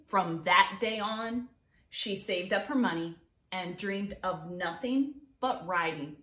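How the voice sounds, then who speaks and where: A middle-aged woman reading a picture book aloud to children in an expressive, deliberate storytelling way. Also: mid-range voice, reverberant. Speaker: children's read-aloud presenter.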